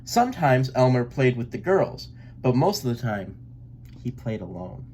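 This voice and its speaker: A man reading a picture book aloud as a storyteller, with theatrical, deliberate narration. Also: medium pitch, very animated, warm tone, slight background noise.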